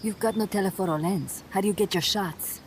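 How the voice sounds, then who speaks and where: A woman's voice: mid-range voice, a little fast, background noise, natural voice. An actress voicing a blunt, streetwise young woman guerrilla fighter in a game cutscene. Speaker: game character. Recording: game cutscene with music behind.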